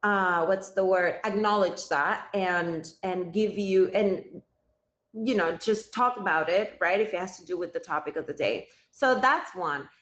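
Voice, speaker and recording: A woman's voice: medium pitch, unhurried, fairly bright tone. A woman in her thirties from Cuba, a native Spanish speaker, hosting a live stream and chatting directly with her viewers. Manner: chatty, addresses viewers directly, thanks gifters. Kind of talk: casual live stream chat. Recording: roomy, slightly dull sound.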